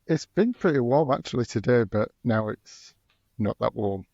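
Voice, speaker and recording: A man's voice: medium pitch, animated, moderate pace, vocal fry, a little mellow, husky, no room sound. A man who organizes conferences, chatting on a podcast and restarting his sentences often. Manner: hesitant, restarts a lot. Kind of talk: casual podcast chat. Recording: dry, dull lo-fi sound.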